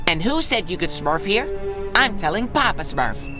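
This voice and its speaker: A nagging little know-it-all gnome from a cartoon game. He sounds pompous and prissy, with lively pitch over clear music. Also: moderate pace. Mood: indignant. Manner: pompous, tattling. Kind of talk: cartoon video game acting. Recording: music under the voice.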